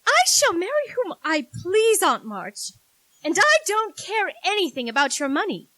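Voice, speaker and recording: A woman's voice: very high-pitched, very animated, normal speed, pushed and bright, dry recording, full character voice, low-quality recording. A woman acting a proper, earnest, romantic young lady in a 1940s-style radio drama, prim and theatrical. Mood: indignant. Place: live stage in a theater.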